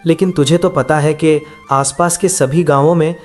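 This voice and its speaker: A man's voice: on the high side, steady tone, moderate pace, soft background music, close to an everyday voice. A man voicing a poor, disheartened woodcutter in a children's cartoon moral story. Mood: weary, worried. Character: poor, worried woodcutter husband and son, disheartened. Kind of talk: animated moral story dialogue in Hindi.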